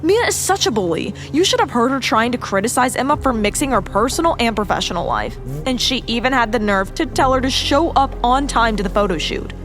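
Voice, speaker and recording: An outspoken, fiercely loyal young woman talks in a high, very animated voice. Music is clearly audible beneath this audio-drama performance. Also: brisk, few pauses. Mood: indignant. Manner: emphatic and outspoken. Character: indignant, fiercely loyal young female friend.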